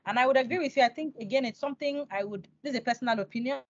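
A woman's voice: high voice, racing, no echo. A woman in her thirties with a Nigerian accent, hosting an interview in a respectful, personal, conversational way. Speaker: interview host. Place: indoors, on a video call. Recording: dull, dry video call audio.

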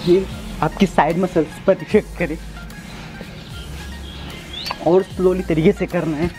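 A man's voice: high voice, steady tone, unhurried. A man in his twenties giving casual, instructive workout directions to viewers, often repeating his phrases. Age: 20s. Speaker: amateur home fitness instructor. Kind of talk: workout demonstration talking to viewers. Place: outdoors in a brick-walled yard or rooftop. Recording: loud music behind voice. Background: music.

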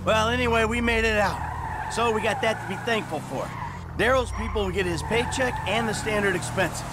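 A man acting a middle-aged American heist crook in a video game, over very loud car noise. He talks in a high-pitched voice, cynical and wordy, with a sardonic edge. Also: a little fast, lightly acted. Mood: wry, relieved. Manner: sardonic, edgy.

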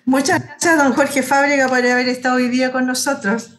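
A woman in her sixties with a Chilean accent, her voice set a little high. She talks in the conversational way of an interview host, speaking from a room at home on a video call. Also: level tone, brisk, fairly bright tone. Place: living room at home, indoors.

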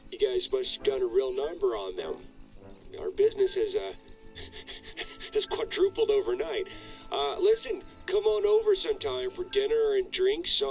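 A man's voice: medium pitch, natural voice, rough recording. An actor playing a chummy, slightly nervous male hotel manager, polished and grateful on a phone message in a video game.

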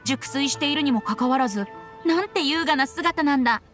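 A playful teenage bard boy from an anime game. He speaks in a high, youthful voice with a carefree, breezy manner, over faint music. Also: a little fast, a bit bright. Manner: breezy, playful, self-admiring. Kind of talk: anime game dialogue acting.

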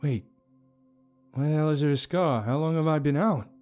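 A man's voice: medium pitch, expressive, moderate pace, warm tone, soft background music, natural voice. A man playing a wry, candid young man, the casual and sardonic hero of an audio story. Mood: alarmed.

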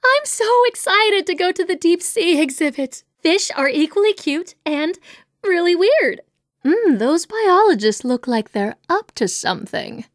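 A woman voicing excitable townswomen in a comedic video game, with an exaggerated, expressive delivery. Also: very high-pitched, a little slow, dry recording, studio quality, full character voice.

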